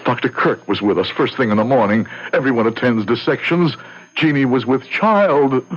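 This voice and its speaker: An actor playing a guilt-ridden, hard-drinking young medical student in a radio horror drama, with brooding, formal, melodramatic delivery. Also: male voice, medium pitch, moderate pace, close to an everyday voice. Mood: grim, accusing. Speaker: actor and first-person narrator. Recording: muffled old radio recording with music.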